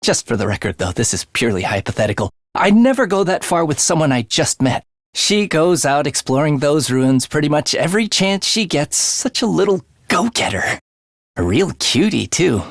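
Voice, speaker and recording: A playful, murder-loving young assassin, swooping pitch. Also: male voice, sing-song, normal speed, no echo, clean studio sound, full character voice. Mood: dreamy, bloodthirsty. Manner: breezy, teasing slang turning breathy and theatrical. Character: playful, morbid young male assassin, gleefully murder-obsessed. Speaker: voice actor. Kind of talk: English video game voice acting.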